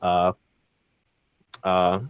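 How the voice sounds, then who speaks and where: Male panel guest, low pitch, dry close sound, casual storytelling. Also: completely flat delivery, very slow, smooth, dry recording. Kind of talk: casual panel talk, telling career story. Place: small home studio, video call.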